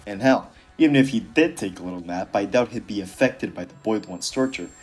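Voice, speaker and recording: A man's voice: lowish voice, brisk. A man narrating a casual scripted commentary in a sardonic, dismissive, casually profane way. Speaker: video creator narrating a commentary.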